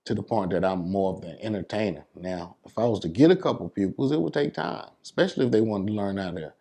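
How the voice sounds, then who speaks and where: A man with a low voice and an American accent chats casually in an interview. He explains his craft as a working DJ and often checks that the listener follows. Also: expressive, fast, creaky, dark tone. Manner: explanatory, repeats "you know what I'm saying". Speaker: DJ and entertainer being interviewed.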